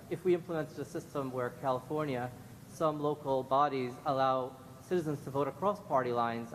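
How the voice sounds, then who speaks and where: Guy giving formal testimony at a public hearing, earnest, echoey hall with rumble behind. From Guyanese background. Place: large indoor public hearing room. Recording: echoey hall, clear rumbling room noise.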